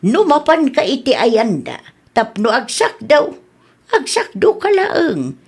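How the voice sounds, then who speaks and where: A woman's voice: sits high, wildly swooping pitch, slow pace, husky, full character voice. A woman with a Philippine accent playing an admonishing, motherly older village aunt who preaches in a comedy radio drama. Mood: stern admonishing.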